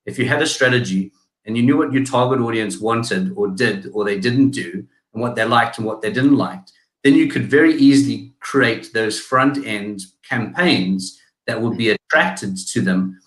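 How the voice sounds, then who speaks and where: A man's voice: medium pitch, a bit bright. Man, South African accent, casual interview guest, restarts often, echoey room. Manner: analytical, halting with many restarts and fillers. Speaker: guest, digital marketing business owner.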